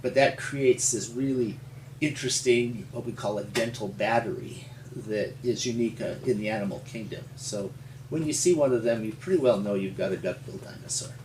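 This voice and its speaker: An older man from rural Montana with an American accent, telling his story in an interview in a halting, folksy, explanatory way. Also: fairly low pitch, a little mellow. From rural Montana, United States. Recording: roomy, distant mic with faint hum.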